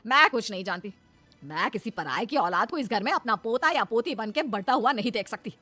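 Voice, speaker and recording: A woman's voice: fairly high pitch, expressive, brisk, pushed and bright, soft background music. A woman voicing a domineering older mother-in-law in a cartoon family drama, commanding and melodramatic, given to scolding. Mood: stern, insistent. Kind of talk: dramatised cartoon story dialogue.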